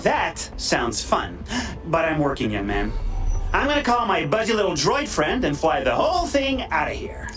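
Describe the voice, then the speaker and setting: A cocky masked game vigilante with a wildly animated, theatrical delivery. He teases and wisecracks crudely, sliding into playful mock voices, over loud music. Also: a little high, moderate pace. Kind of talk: video game voice acting.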